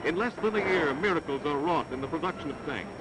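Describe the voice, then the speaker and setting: A man's voice: on the high side, expressive, quick pace, noisy background. A man from the United States giving emphatic, stirring scripted narration for a vintage newsreel. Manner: emphatic, stirring announcer delivery. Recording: vintage newsreel soundtrack over loud music. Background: loud music.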